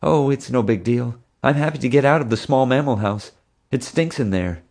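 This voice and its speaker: A man voicing an earnest young woman zookeeper, raising his voice high for her in an audiobook reading. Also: lively intonation, moderate pace, a little mellow. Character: young woman zoo keeper, earnest and eager.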